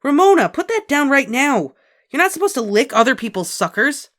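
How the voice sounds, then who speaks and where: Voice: medium pitch, expressive, quick pace, fairly bright tone, voice pushed up, natural voice. A reader voicing a bossy, tidy schoolgirl who scolds her little sister. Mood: scolding. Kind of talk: audiobook character voice.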